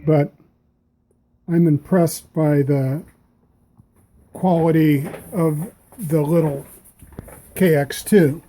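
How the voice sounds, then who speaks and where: A man giving a casual, measured demonstration as a radio hobbyist. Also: a little high, dark tone, slight background noise. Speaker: amateur radio operator demonstrating receivers. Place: small indoor radio room.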